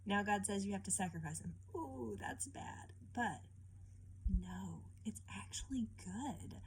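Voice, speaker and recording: A woman reading a picture book aloud and teaching children informally, expressive and often turning to the listener with questions. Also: mid-range voice, a little slow, gravelly, slight background noise.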